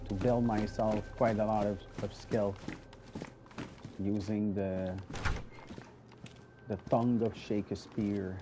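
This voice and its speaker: A man's voice: on the low side, flat delivery, takes it slowly, pauses often, noisy background. A man with a French Canadian accent, a native French speaker, giving rambling casual gameplay commentary and addressing his viewers directly. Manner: chatty and rambling, often restarting words, talks straight to viewers. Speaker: gaming video host.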